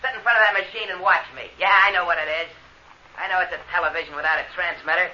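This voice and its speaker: A man's voice: high-pitched, steady tone, fast, pushed and bright, a little hiss or hum. An actor playing a deranged, jealous spaceship power man in 1950s radio drama, taunting and threatening. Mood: gloating, bitter. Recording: dull vintage radio recording.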